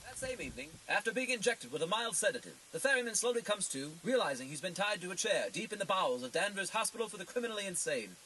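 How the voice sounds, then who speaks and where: A woman's voice: mid-range voice, big pitch swings, quick pace, hardly any pauses, background noise. A woman narrating a scripted radio drama in a dramatic storytelling style.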